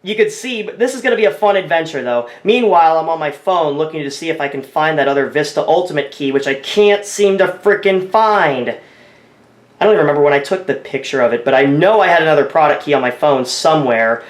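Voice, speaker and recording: A man's voice: on the high side, fairly quick, reverberant. A man casually commenting on his own screen recording, thinking aloud, rambling and often correcting himself. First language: English. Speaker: tech video creator.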